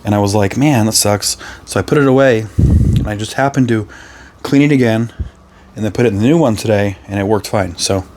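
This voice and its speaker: A man with a hoarse, raspy voice, casually chatting through a reseller vlog, rambling with lots of ums and self-corrections. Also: on the low side, brisk, noisy background. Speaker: reseller vlogger. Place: his resale booth and home workspace.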